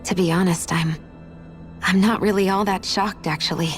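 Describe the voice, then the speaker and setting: A female actor plays a guarded young heroine from a game drama. Her voice is on the low side, and she speaks in a reflective, earnest way, with music underneath. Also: steady tone, moderate pace, close to an everyday voice. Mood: somber, reflective. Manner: thoughtful, earnest. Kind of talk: dramatic video game cutscene acting. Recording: music playing behind the voice.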